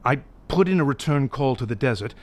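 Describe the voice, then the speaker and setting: A man's voice: medium pitch, animated, a little fast, lightly acted. An actor playing a worried, suspicious middle-aged father in an audio mystery drama, talking in an earnest, uneasy way. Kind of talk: audio re-creation of a 1930s mystery film.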